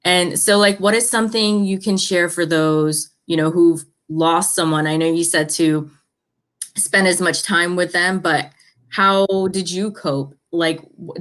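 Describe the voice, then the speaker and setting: Brief: low-pitched woman host, encouraging and affirming. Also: a bit bright. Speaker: show host. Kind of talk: casual interview chat.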